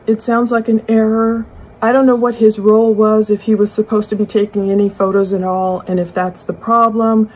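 Husky-voiced woman commenting on true crime. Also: on the high side, a little mellow, rough recording. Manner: speculative, explanatory, posing questions aloud. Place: small furnished room indoors.

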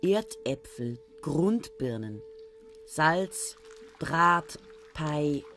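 Radio play narrator, woman, deep voice, dry and wry monologue over music. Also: lively intonation, drawn-out words, a bit bright. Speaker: first-person narrator.

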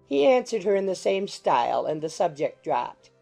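A woman's voice: on the low side, very animated, normal speed, gravelly, mellow. A woman narrating a classic novel as a scripted audiobook, in a literary reading style. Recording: music behind the voice.

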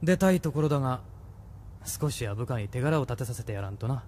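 A man's voice: medium pitch, animated, brisk, a little mellow, a little hiss or hum, close to an everyday voice. A man playing a smug, self-assured military major in an audio drama, speaking with condescending authority.

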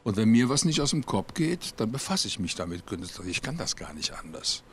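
A man, answering interview questions outdoors on a rooftop into a handheld mic, thoughtful and searching, with frequent pauses. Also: lowish voice, sing-song, a little hiss or hum. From Cologne, Germany. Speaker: singer-songwriter.

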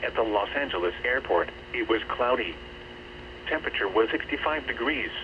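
A synthetic man's voice reading a scripted weather bulletin in a formal, measured manner over radio. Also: mid-range voice, animated. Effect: radio transmission. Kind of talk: scripted weather forecast and observations. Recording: noisy, dull weather radio reception.

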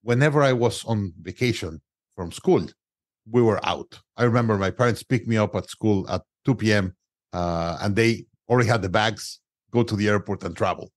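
Mexican guy, middle aged, kinda low voice, dry close mic, lots of uh and restarts. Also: normal speed, no room sound. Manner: non-native grammar, frequent restarts and "uh" fillers. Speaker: guest entrepreneur. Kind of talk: conversational interview storytelling.

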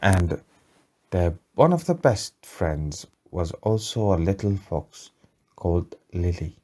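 Storyteller, very low and unhurried, patient, simple phrasing, improvising a bedtime tale for a child. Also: big pitch swings, darkish tone.

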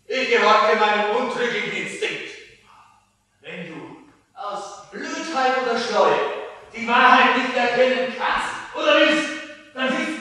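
A man's voice: very high-pitched, sharp tone, exaggerated voice. Man acting a jealous king, far-off echoing stage. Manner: imperious, declamatory. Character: jealous, raging, stubborn king accusing his wife. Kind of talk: stage acting of a classical tragedy. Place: on stage in an inn hall, dress rehearsal. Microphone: far camera. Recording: distant, very echoey theater hall.

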